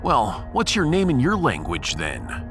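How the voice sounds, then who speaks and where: A man's voice: mid-range voice, huge pitch swings, unhurried, over music. A man playing a blunt, sardonic human starship captain in a sci-fi audio drama, with a wry, theatrical delivery.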